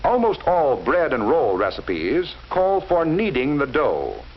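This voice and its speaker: A man narrating a 1940s educational film in a measured, instructive way, speaking directly to the viewer. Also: fairly high pitch, wildly swooping pitch. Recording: dull lo-fi 1940s film soundtrack with faint hiss.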